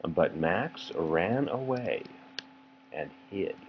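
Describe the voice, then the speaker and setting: A man reads a picture book to a child at a slow, unhurried pace. His intonation swings widely while his manner stays gentle and patient. The recording is slightly lo-fi. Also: low-pitched, animated, very noisy. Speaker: read-aloud storyteller for a child. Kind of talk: children's picture book narration with casual asides.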